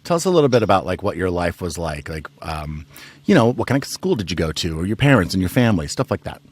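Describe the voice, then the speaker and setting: A man's voice: sits low, very animated, fast, husky. A man hosting a casual podcast, asking loose, rambling questions full of fillers. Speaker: podcast host. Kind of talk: casual chat.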